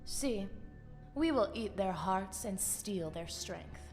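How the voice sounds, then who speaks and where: An actress playing a proud, scornful, bloodthirsty vampire warrior in a video game, with haughty, declamatory delivery. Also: female voice, mid-range voice, animated, takes it slowly, darkish tone. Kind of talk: dramatic video game acting. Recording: long hall reverb, music underneath. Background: music.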